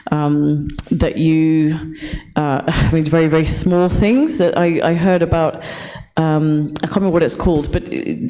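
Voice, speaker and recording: A woman's voice: low voice, mellow. A woman giving a thoughtful answer as a panelist in a discussion. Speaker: panelist, media professional.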